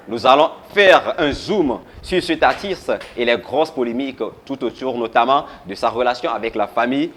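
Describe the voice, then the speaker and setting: A man presenting a talk show, reading a scripted opening with dramatic build-up. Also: mid-range voice. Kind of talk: scripted introduction.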